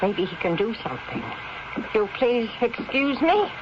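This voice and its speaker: An actress playing a dutiful, plain-spoken night nurse who speaks with deference, in a seventies radio drama. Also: female voice, fairly high pitch, very animated, unhurried. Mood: matter-of-fact. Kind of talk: 1970s radio drama acting. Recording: noisy, muffled vintage radio recording.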